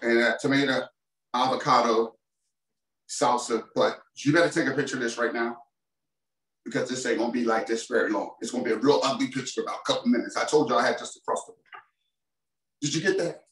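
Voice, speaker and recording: A man's voice: mid-range voice, fast, long pauses, a bit bright. Male chef, chatty and upbeat, home kitchen, distant mic, echoey room. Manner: chatty, enthusiastic, talks straight to viewers. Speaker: chef presenting a cooking demo. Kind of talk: casual cooking demonstration. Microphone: far camera mic.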